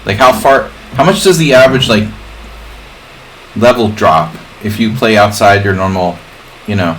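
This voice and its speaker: A man's voice: lowish voice, normal speed, poor audio. A man hosting a livestream in casual, rambling chat, drifting off on jokey tangents. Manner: digressive, jokey. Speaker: livestream host, chess streamer. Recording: clear background noise.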